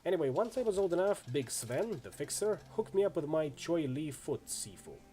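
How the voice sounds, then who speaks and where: A man's voice: on the high side, sing-song, moderate pace, mellow. A man voicing a friendly, easygoing orc swordsman in a video game, chatty and full of laid-back street slang. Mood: matter-of-fact, casual. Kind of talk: acted reading of role-playing game dialogue.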